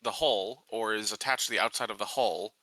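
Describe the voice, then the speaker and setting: A man's voice: lowish voice, brisk, bright, forceful tone, dry recording, rough recording. A man playing a tabletop roleplaying game, casually and hesitantly reasoning things out aloud.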